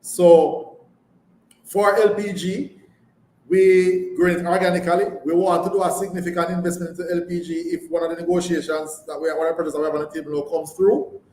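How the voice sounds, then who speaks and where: A man's voice: sits high, little pitch movement, brisk, darkish tone. A Jamaican man answering as a chief executive on a live earnings call, emphatically repeating words and phrases. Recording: echoey room, background noise.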